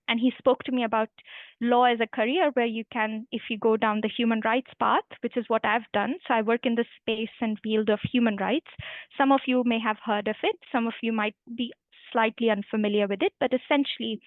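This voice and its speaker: A woman's voice: fairly high pitch, steady tone, fairly quick, no room sound. Indian-accented woman on a tinny call. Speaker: guest speaker, human rights lawyer. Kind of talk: online webinar talk. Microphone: video call. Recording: tinny phone-like video call audio.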